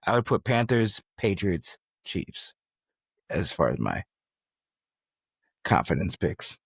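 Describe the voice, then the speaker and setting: A man's voice: sits low, sing-song, slow, creaky, husky, dry recording, clean studio sound. A man hosting a podcast, chatting casually about sports in a slangy, bro-ish way. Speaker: podcast host.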